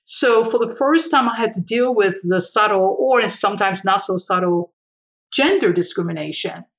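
Older woman, chatty interview guest, pauses a lot and restarts, kinda dull lo-fi sound. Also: medium pitch. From Taiwan. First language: Chinese. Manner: measured, with frequent fillers and restarts. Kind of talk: reflective conversational storytelling.

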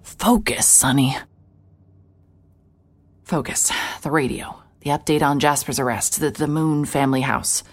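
An actress playing a hard-hearted private investigator, narrating a noir audio drama in a terse, deadpan, brooding manner. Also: female voice, low-pitched, unhurried, long pauses. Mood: focused. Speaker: narrator and lead character. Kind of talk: first-person noir scripted narration.

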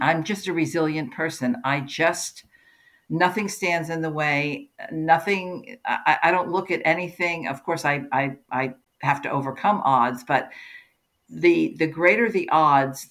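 A woman's voice: deep voice, a bit bright. A middle-aged woman from New Jersey whose first language was German, speaking earnestly as a podcast guest, emphatic and given to repeating words as she restarts. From New Jersey, USA. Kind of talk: conversational interview.

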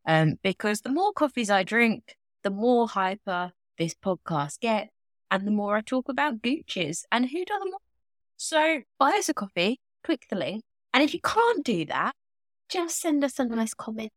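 A woman's voice: on the high side, big pitch swings, measured pace, dry recording, clean studio sound. A woman co-hosting a podcast in casual chat, joking and rambling off on tangents. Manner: bubbly, rambling, jokey tangents. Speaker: podcast co-host.

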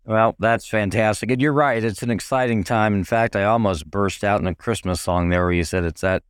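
A middle-aged man with a hoarse, raspy voice, hosting a casual podcast chat with many fillers. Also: lowish voice, brisk, no echo, clean studio sound. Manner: friendly, rambling with many fillers. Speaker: podcast host.

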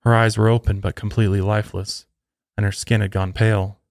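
A man with a fairly low voice narrates true crime as a podcast host. The sound is close and dry, with very little room around him. He reads from a script, telling the story step by step. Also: flat delivery, brisk, gravelly, darkish tone, no room sound.

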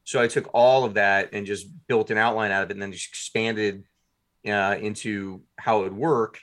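A man being interviewed as a guest, telling stories casually in a self-deprecating, animated way with quoted asides. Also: lowish voice, normal speed.

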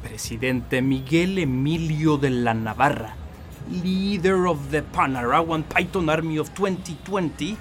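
Audio drama: hostile, fanatical young-regime soldier, fairly high voice, music underneath. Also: male voice. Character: jumpy, hostile male soldier fanatically loyal to new president.

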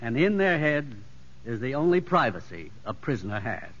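An actor playing a seasoned, plain-spoken prison doctor giving wry cautions in a 1950s radio drama. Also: male voice, medium pitch, sing-song, moderate pace, fairly bright tone. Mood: wry, cautioning. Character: seasoned, matter-of-fact male prison doctor. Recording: muffled 1950s radio recording.

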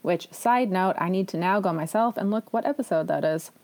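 A woman's voice: lowish voice, brisk, darkish tone. Chatty, enthusiastic woman podcast host. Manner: chatty and enthusiastic, self-deprecating asides. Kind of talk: casual solo podcast talk.

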